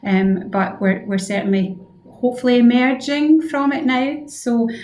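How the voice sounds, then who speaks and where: A woman's voice: fairly high pitch, a little slow, darkish tone. A Scottish woman of about sixty answering conversationally as a guest in a radio interview. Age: around 60. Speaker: interview guest, head physiotherapist. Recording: roomy, echoing room sound.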